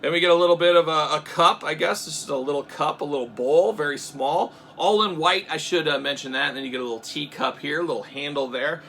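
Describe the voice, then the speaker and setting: A young adult man hosting a casual toy unboxing, joking and rambling in asides, swinging between loud bursts and low mutters. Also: fast talker, few pauses, slight background noise. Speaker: toy reviewer.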